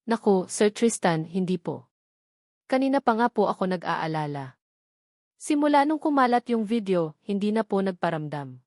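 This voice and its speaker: A humble, concerned older man speaks with respectful worry, as a woman narrator puts him on. The pitch is moderate and the recording dry. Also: sing-song, moderate pace, darkish tone, no room sound. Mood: worried.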